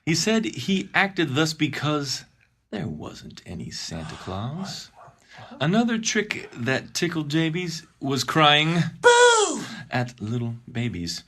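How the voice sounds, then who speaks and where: A theatrical storybook narrator performed by a man recites comic Christmas verse. The voice is husky, with swooping, measured emphasis on the rhymes. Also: on the high side, wildly swooping pitch, sharp tone, full character voice. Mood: mock-dramatic. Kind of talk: dramatic poetry reading.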